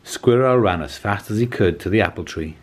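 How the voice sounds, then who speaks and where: A man in his forties reading a children's storybook aloud as scripted narration, in a measured, expressive way. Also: on the low side, slight background noise. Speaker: children's storybook reader and host.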